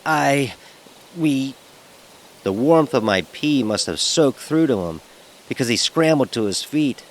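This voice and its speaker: A man's voice: mid-range voice, expressive, unhurried, slight background noise. An actor playing a frightened, sarcastic young fraternity pledge who narrates his story in a wry, vivid, emotionally charged way. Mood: wry, uneasy. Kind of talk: first-person dramatic horror audio narration. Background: music.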